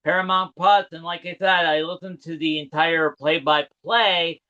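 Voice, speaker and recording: Male sports recap co-host, high and bright big voice, conversational, slightly dull recording. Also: steady tone, normal speed. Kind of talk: casual sports recap chat. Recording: dull, lo-fi sound.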